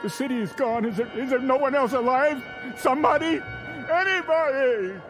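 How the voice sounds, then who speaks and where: A man's voice: very high-pitched, normal speed, over music. An actor playing a desperate man who believes he is the last person alive, pleading and breaking down. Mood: panicked. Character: desperate grown man alone in a ruined city. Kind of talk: radio drama acting.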